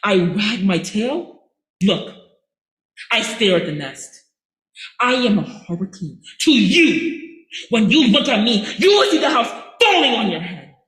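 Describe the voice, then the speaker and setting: Guy doing a fiery old preacher-ish man, booming, bright tense voice, slow and echoey. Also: sing-song, exaggerated voice. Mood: defiant. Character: fiery defiant older man raging as a lion. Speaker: student actor doing a monologue. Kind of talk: theatre audition monologue. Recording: echoey room.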